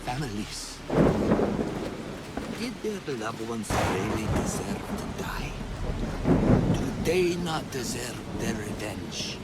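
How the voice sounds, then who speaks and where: An actor playing a sinister, mocking cult villain with a deliberate, theatrical delivery in a video game cutscene. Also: male voice, mid-range voice, sing-song, heavy background noise. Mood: taunting. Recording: loud music behind.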